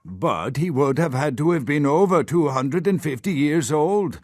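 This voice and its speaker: A man's voice: fairly high pitch, lively intonation, a little slow, darkish tone, no echo, clean studio sound. A man playing a nervous, scholarly archaeologist held captive in a video game, given to learned, rambling explanation. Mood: scholarly, absorbed. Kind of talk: video game character dialogue.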